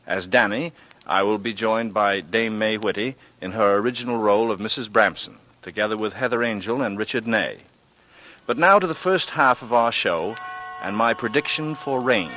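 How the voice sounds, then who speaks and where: A man narrating a 1940s radio drama as host, formal, measured and theatrical in his scripted delivery. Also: mid-range voice, pushed and bright, poor audio. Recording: old radio broadcast.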